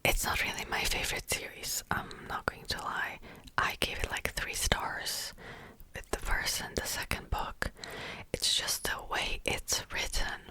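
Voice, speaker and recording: A woman's voice: measured pace, bright, forceful tone, low-quality recording. A young woman whispering a rambling, casual chat about books as an ASMR host, close to the mic, with many fillers. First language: Czech.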